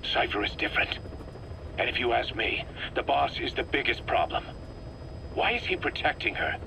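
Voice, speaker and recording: An actor playing a suspicious, hard-bitten military commander in a video game, terse, grumbling and confrontational. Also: male voice, medium pitch, expressive, normal speed, vocal fry, noisy background. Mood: hostile, suspicious. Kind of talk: dramatic game voice acting.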